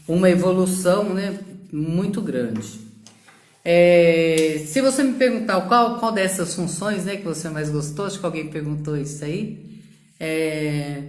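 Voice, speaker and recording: A woman's voice: lowish voice, a little mellow, reverberant. A middle-aged woman from São Paulo state, telling her own career story in a casual live talk, reflective and full of fillers and tag questions. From São Paulo state, Brazil. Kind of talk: live social media talk.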